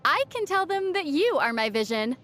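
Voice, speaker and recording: A woman playing a young female traveler in a video game, gently teasing and reassuring, with a playful, dry manner. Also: very high voice, big pitch swings, moderate pace, loud music behind the voice. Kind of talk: video game character dialogue.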